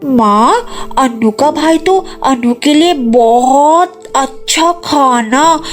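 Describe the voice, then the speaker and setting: A woman voicing a little village girl in a Hindi cartoon story, with a childlike, drawn-out delivery. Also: high voice. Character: excited little village girl telling her mother.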